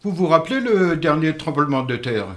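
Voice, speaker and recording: An older man with a fairly high voice tells old village tales in a measured, earnest way, stopping and restarting now and then. He grew up speaking a regional dialect and is being interviewed in a radio studio. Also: expressive. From Moselle, France. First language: Alsatian-Lorraine Franconian dialect. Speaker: interview guest. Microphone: studio microphone.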